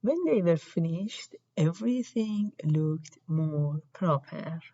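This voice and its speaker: A woman reading a children's storybook aloud in an expressive, deliberate way. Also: low voice, muffled recording. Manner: deliberate, acts out characters' lines. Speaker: storybook reader.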